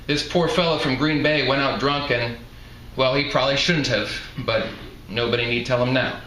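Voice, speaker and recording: A man's voice: medium pitch, fairly even intonation, normal speed, bright, forceful tone, close to an everyday voice. A man voicing a chatty, frail elderly woman who keeps a motel, in a live novel reading. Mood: matter-of-fact, gossipy. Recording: roomy echoing live venue, some noise.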